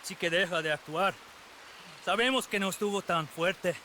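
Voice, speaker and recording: An actor playing a mocking captor in a video game, with an exaggerated, taunting delivery. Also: male voice, high-pitched, brisk, pushed and bright. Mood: sneering. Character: mocking, theatrical male captor, toying with a prisoner. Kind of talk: video game cutscene acting. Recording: very noisy, distant game scene.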